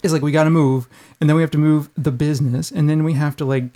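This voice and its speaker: A middle-aged man with a high-pitched voice, chatting casually as a podcast host in an irreverent, rambling, casually profane way. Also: steady tone, fast, dark tone. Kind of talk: casual chat.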